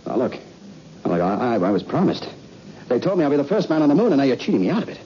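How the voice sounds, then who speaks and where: An actor playing a cocky, boyish, excitable astronaut husband in a 1960s radio drama, on an old hissy recording. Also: male voice, mid-range voice, rapid-fire, highs cut off, close to an everyday voice. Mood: hurt protest.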